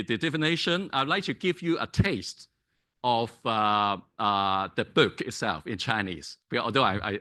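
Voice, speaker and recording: A man whose first language is Cantonese gives a public lecture, pausing on many fillers and restarts and checking in with "right?". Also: mid-range voice, pushed and bright. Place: lecture hall.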